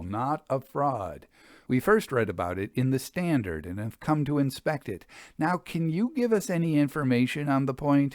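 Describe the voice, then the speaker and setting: Male storyteller as bantering Victorian gentleman, cheeky, mock-serious, lively intonation, storybook character, dry recording. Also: medium pitch, no echo, lightly acted. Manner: playful, mock-serious, drawn-out phrasing.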